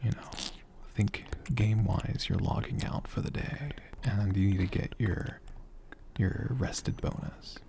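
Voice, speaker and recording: A performer voicing a gentle, affectionate dragon healer in a soft, breathy ASMR whisper, slow and soothing with tender, lingering pauses. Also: low-pitched. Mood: gentle, reassuring. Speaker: ASMR creator.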